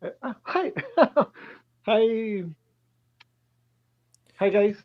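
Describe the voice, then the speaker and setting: A man from Chile with a Chilean Spanish accent, calling in to ask a question in halting English, full of restarts and nervous laughs. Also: high voice, expressive, no echo. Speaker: caller. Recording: tinny phone-like call line.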